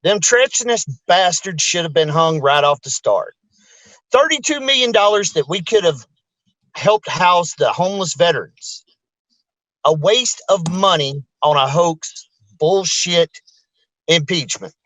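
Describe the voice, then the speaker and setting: A man's voice: sits high, expressive, a little slow, fairly bright tone, no room sound, rough recording. Blunt, crude male candidate, dry sound. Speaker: political candidate. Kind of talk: debate answer.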